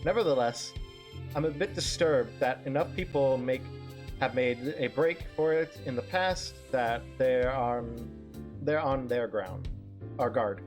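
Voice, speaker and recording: A man reading a visual novel aloud on a stream, narrating haltingly and often repeating words as he goes. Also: mid-range voice. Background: music.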